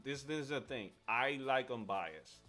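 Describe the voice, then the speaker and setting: A man's voice: mid-range voice, expressive, measured pace, bright, forceful tone. A man chatting casually and giving strong opinions as host of a live stream, emphatic and often repeating himself. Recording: music under the voice. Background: music.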